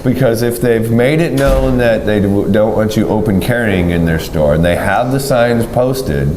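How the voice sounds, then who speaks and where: A man's voice: lowish voice, normal speed. A man co-hosting a gun range talk show, talking in a rambling, opinionated way full of ums and restarts. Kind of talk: casual chat. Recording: echoey room with faint noise.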